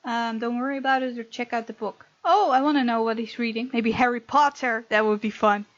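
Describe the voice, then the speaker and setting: A woman narrates a visual novel playthrough, slipping into casual asides. Her voice sits fairly high, and the home recording is dry and slightly muffled. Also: fairly quick, no echo. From Netherlands. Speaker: gamer reading a visual novel aloud and voicing the heroine.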